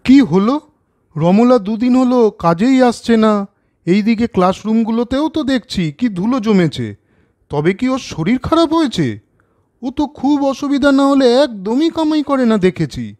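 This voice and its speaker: A man's voice: very high voice, big pitch swings, unhurried, a little mellow, full character voice. A man voicing a kind, earnest young coaching teacher in a storybook cartoon.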